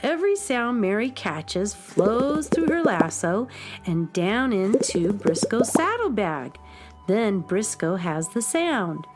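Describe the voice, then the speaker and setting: Eager student fan in children's radio play: high, unhurried, highly animated, emphatic explanations. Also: female voice, music in the background. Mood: eager, explaining. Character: eager young music student, knowing adoring fan. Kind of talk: children's radio drama acting.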